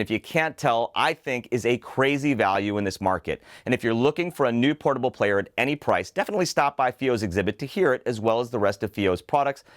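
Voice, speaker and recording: A man's voice: mid-range voice, dry recording, clean studio sound. A man hosting a product review show, presenting scripted material to camera in an articulate, enthusiastic way. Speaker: host of a headphone review show.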